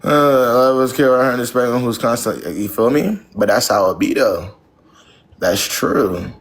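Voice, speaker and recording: A man's voice: medium pitch, animated, normal speed, echoey room. A young man hosting a live stream who gives callers blunt, slangy relationship advice in a lecturing way.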